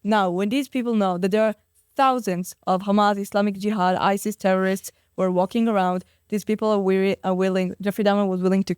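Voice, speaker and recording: A woman's voice: medium pitch, steady tone, fast talker, a little mellow, clean tone, no echo, clean studio sound. A teenage girl, fifteen, as an interview guest, arguing outspokenly with emphatic rhetorical questions. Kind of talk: conversational interview, impassioned argument.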